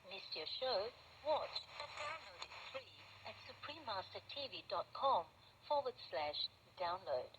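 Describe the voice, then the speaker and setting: A woman's voice: mid-range voice, lively intonation, a little slow, few pauses. Lilting female announcer through heavy radio noise. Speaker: promo announcer. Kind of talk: scripted promo. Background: heavy radio static.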